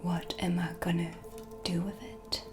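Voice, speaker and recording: A woman playing a proud, mysterious dark witch in a whispered cinematic ASMR roleplay, with a breathy, hushed, deliberate delivery. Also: on the low side, level tone, natural voice. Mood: intrigued, secretive. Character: self-assured dark witch, mysterious and proud, in her shop. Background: music, dark ambience.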